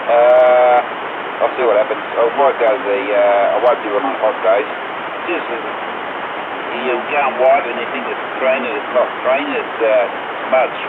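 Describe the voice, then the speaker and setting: A man's voice: medium pitch, steady tone, normal speed. A man chatting casually over CB radio, rambling and often stopping to search for words. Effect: CB radio transmission. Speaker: CB radio operator. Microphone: CB radio mic heard through receiver. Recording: tinny narrowband CB radio, loud hiss.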